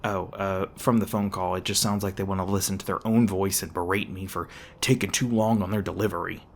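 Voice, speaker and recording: Man acting a low-voiced, brash monster hunter. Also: fairly even intonation, a little fast, gravelly, a little hiss or hum. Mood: wary, protective. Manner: stammering when flustered, brash and sarcastic. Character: gruff, edgy monster hunter, defensive but secretly soft-hearted. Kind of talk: ASMR roleplay voice acting.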